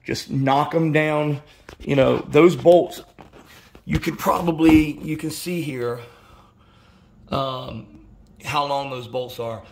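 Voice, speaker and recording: A man's voice: fairly high pitch, steady tone, slow, pauses often, darkish tone, a little hiss or hum. A man explaining a car repair step by step in a casual, rambling way, full of fillers and restarts, recorded in his home garage. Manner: rambling, many restarts and "you know" fillers. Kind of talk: casual how-to walkthrough.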